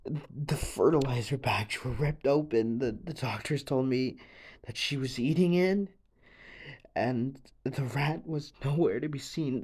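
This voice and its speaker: Man acting a slow, halting, distraught young man. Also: fairly high pitch, a little mellow, husky. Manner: halting, stammering, trailing off and restarting. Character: distraught, grieving young man confessing a frightening, unbelievable story. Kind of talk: audio roleplay confession monologue.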